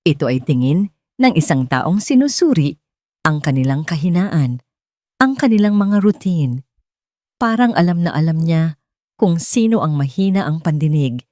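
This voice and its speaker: A woman's voice: deep voice, warm tone, dry recording, clean studio sound. A woman reading a scripted, dramatic first-person story. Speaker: story narrator.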